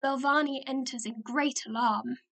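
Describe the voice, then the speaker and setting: A woman's voice: high voice, fairly even intonation, normal speed, fairly bright tone. A woman reading stage directions as scripted narration for a radio play.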